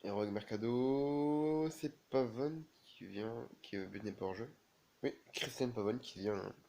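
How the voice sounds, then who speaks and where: A man with a cold commentating his own video game match in a casual, halting way, full of fillers. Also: mid-range voice, darkish tone. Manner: halting, many fillers and restarts. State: has a cold, sneezing. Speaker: gaming video creator.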